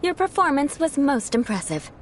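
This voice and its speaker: A woman's voice: sits high, very animated, normal speed, slight background noise. A woman voicing a poised, elegant young noblewoman knight in a video game, speaking formally and with cool condescension. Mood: measured approval. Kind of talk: scripted video game dialogue. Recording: game music behind the voice.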